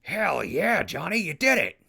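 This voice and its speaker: A man acting as a gruff, loyal wasteland veteran with a folksy, punchy manner in a post-apocalyptic audio drama. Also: high-pitched, sing-song, measured pace, pushed and bright, heavily acted. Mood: elated.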